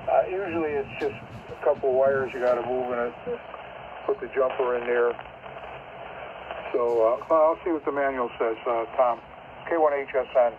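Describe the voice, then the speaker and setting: A man giving casual, matter-of-fact technical advice as a ham operator over two-way radio. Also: mid-range voice. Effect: two-way shortwave radio. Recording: tinny narrow radio, clear static.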